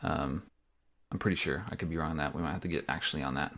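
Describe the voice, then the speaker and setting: A man's voice: very deep voice, fast talker, gravelly, a bit bright. A young man co-hosting a movie podcast in casual chat, rambling with fillers and easy laughs. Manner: chatty, lots of fillers and laughs. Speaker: podcast co-host.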